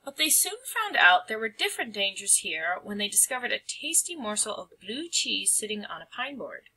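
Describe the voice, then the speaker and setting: A woman's voice: mid-range voice, very animated, moderate pace, bright, forceful tone, breathy edge, rough recording. A woman reading a picture book aloud as a storyteller, with dramatic, emphatic phrasing.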